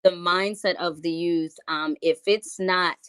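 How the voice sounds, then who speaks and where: Deep-pitched female artist guest from New York City, loose and rambling in interview. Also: fairly even intonation, unhurried, fairly bright tone, clean tone. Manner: rambling, hesitant with many fillers. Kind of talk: casual interview chat.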